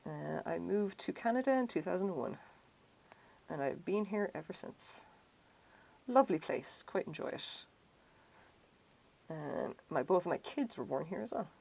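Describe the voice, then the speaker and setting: A woman's voice: lowish voice, lively intonation, moderate pace, vocal fry, pauses often, darkish tone, husky. A middle-aged woman with an Irish accent, chatting casually and rambling while she crochets on a live stream. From Ireland. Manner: chatty, self-deprecating, rambling asides.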